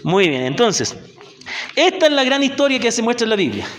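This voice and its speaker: A man's voice: very high voice, very animated, normal speed, fairly bright tone, roomy sound, slight background noise. A Chilean man, a missionary teacher, leading a Bible class and patiently asking the group questions, often checking in with "ya?". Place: church hall in a rural community.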